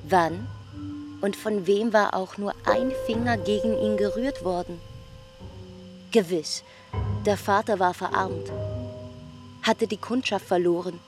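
An actress playing a young village woman who questions her family's plight with a slow, questioning delivery in a radio play. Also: mid-range voice, music in the background, lightly acted.

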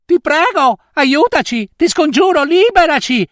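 A man putting on shrill begging witches. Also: animated, a little slow, fairly bright tone, no echo, clean studio sound, exaggerated voice. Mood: pleading. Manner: pleading, whining. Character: three wicked old witches begging, shrill and whiny.

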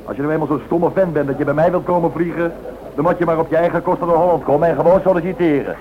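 An actor playing a blunt, bossy airline director in a radio play, curt and scolding. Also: male voice, fairly high pitch, fairly even intonation, very fast, close to an everyday voice. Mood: gruff, scornful. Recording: tinny band-limited old radio recording, hiss.

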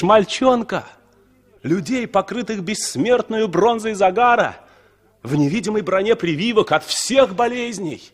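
A man's voice: high-pitched, heavily acted. An actor playing a dreamy, impassioned traveller who narrates his thoughts as a poetic, declamatory monologue in a 1960s radio play. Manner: declamatory, reflective.

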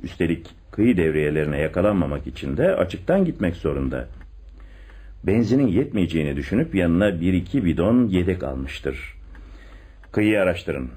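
An actor playing a confident police chief inspector in a radio play, with an expressive, deliberate theatrical delivery. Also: male voice, sits low, husky, exaggerated voice. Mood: confident, reasoning. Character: male police chief inspector, secretly in love, confident theorist. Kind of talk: Turkish radio theatre acting.

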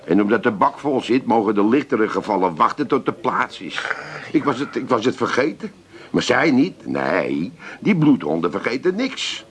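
An actor playing a cheeky small-time crook in a comic radio play, grumbling and wheedling with streetwise banter. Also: male voice, medium pitch, very animated, normal speed, lightly acted. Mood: aggrieved. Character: cheeky small-time Amsterdam crook, indignant about jail.

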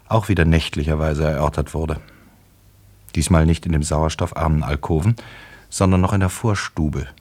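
A man narrating a literary radio play in a measured, wry and detached manner. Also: darkish tone. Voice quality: very deep, husky.

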